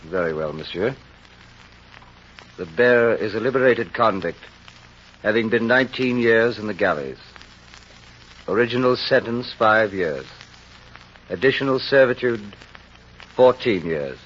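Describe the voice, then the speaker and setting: An old, muffled radio drama with faint noise features a kindly, devout priest. His low voice rises and falls widely, and his delivery is gentle, dignified and unhurried in manner. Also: animated, slightly rough, exaggerated voice. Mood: grave. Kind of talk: 1930s-40s radio drama acting. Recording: dull, noisy old radio recording.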